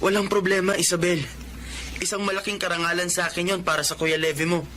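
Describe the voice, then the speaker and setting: A man's voice: sits high, little pitch movement, quick pace, bright, forceful tone, slight background noise. A man acting an earnest young Filipino who tells and lives his life story in a radio drama. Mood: friendly. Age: young adult. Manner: earnest, sincere.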